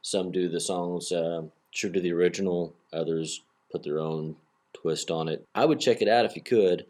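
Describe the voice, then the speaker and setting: Very deep-voiced man hosting a podcast. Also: fairly even intonation, normal speed, darkish tone. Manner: rambling, many fillers and restarts. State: a bit nasal from a cold. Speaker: podcast host. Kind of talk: casual solo podcast talk. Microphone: built-in laptop microphone.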